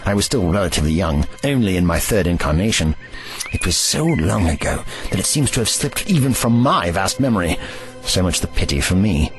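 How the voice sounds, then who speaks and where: A man's voice: deep voice, sing-song, brisk, soft background music, low-quality recording. A man playing a grave, erudite ancient time traveller in an audio drama, with formal, slightly pompous phrasing.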